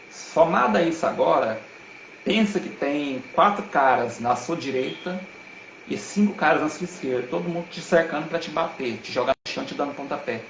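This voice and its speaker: Gravelly man. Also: fairly high pitch, slight background noise. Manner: earnest, emphatic, slangy. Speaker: guest speaker. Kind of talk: casual live-stream talk. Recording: roomy echo.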